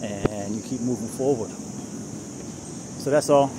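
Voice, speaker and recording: A man in his forties vlogging on a walk in a park just after his workout, talking in a relaxed, rambling way about how you have to keep moving forward. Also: lowish voice, a little slow. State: a little winded after a gym workout. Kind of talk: casual motivational walk-and-talk. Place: outdoors in a leafy city park. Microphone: earbud headset mic, phone held low at arm's length. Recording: loud outdoor noise, insects and traffic.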